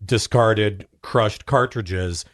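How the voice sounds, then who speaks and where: A man's voice: on the low side, extremely slow, fairly bright tone, dry recording, clean studio sound. A man speaking as a podcast guest, telling stories in a casual, comedic way with emphatic repetition.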